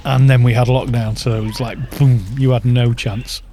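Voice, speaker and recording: A man's voice: mid-range voice, little pitch movement, normal speed, mellow, a little hiss or hum. A British man in his fifties chatting in a rambling way while streaming a game and reading out viewer chat. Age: 50s. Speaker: gaming streamer.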